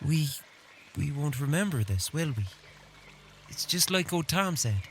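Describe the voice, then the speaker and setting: A man's voice: fairly high pitch, sing-song, unhurried, a little hiss or hum. A man playing a polite, earnest and slightly hesitant village boy in a fantasy audio drama. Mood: anxious, hopeful.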